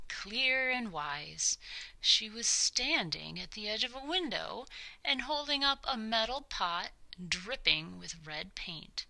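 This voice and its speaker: Bright, slow-paced woman reading a storybook. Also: mid-range voice, vocal fry, rough recording. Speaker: storybook narrator. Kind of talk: expressive scripted children's story narration.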